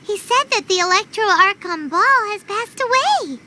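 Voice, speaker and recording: A woman voicing a small, excitable, inquisitive floating companion in a video game, with a squeaky childlike voice. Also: moderate pace, a little hiss or hum, full character voice. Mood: curious, concerned. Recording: game audio with music behind. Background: game music.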